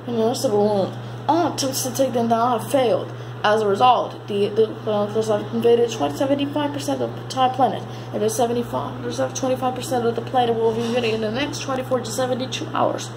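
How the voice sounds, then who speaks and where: A woman reads a mock emergency broadcast as an amateur announcer, halting and repeating words as she stumbles through the script. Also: a little high. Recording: noisy amateur home recording.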